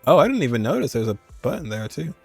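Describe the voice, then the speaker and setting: A man's voice: medium pitch, sing-song, fast talker, vocal fry, a little mellow, no room sound. A man giving informal, reactive game commentary, thinking aloud in a casual way. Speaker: gaming commentator. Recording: faint game music behind. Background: game music.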